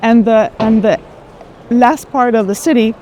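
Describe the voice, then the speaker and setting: A woman's voice: a little high, a little slow, warm tone. A woman explains things conversationally as a guest on a tour, restarting phrases as she goes. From Colombia. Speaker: art advisor and guest. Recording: faint urban background noise.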